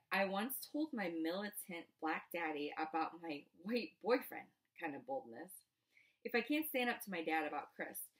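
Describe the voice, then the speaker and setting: A woman reading a first-person novel aloud as expressive narration. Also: fairly low pitch. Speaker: audiobook reader.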